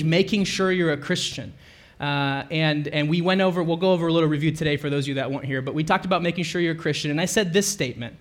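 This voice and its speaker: A man with a slightly high voice preaches in a church sanctuary, his words carried by a microphone through the sound system. He talks in a loose, chatty way, corrects himself mid-sentence and keeps asking the room whether they remember. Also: fairly bright tone. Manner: conversational, self-correcting, asks the congregation questions. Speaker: pastor. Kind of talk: sermon.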